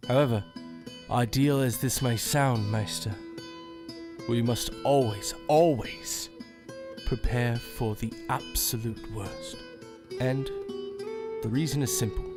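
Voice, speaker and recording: An actor playing a young, wary sheriff in a fantasy audio drama, formal and grave. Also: male voice, medium pitch, slow pace, long pauses, over music, natural voice. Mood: earnest.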